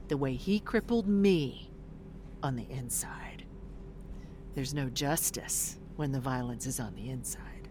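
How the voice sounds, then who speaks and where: A woman's voice: sits low, a little hiss or hum. An actress playing a blunt, weary middle-aged woman fresh out of prison in an audio drama, swearing in exasperation. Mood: bitter, reflective.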